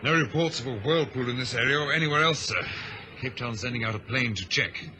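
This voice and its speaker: A man playing a brisk, dutiful ship's chief officer in a vintage radio drama, crisp and urgent. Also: mid-range voice, sharp tone, lightly acted. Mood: alarmed. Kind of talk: old radio drama acting. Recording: noisy vintage radio recording.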